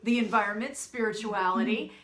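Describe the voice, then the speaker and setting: A woman hosts a talk show and interviews her guest in an easy, chatty way, with a light laugh now and then. Her voice sits at a middle pitch. The sound carries a roomy echo. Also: fairly quick, fairly bright tone.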